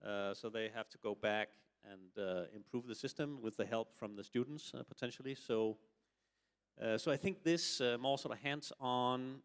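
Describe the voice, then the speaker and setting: A man's voice: mid-range voice, moderate pace, clean tone. A middle-aged man from Denmark, a building consultant speaking on a forum panel, with many hesitations and filler sounds. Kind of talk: panel discussion answer.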